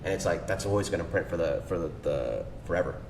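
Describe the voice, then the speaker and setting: Man, lowish voice, slangy and eager, roomy echo, mic set back, faint rumble behind. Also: quick pace, vocal fry. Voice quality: slangy, filler-heavy, enthusiastic. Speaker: cold email agency owner, guest. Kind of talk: casual podcast chat. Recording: echoey room, distant mic, faint rumble.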